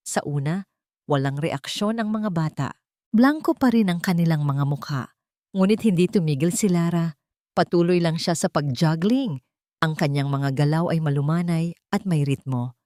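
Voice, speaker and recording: A woman narrating a dramatic romance story in a soft, emotive way. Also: on the low side, dry recording, clean studio sound.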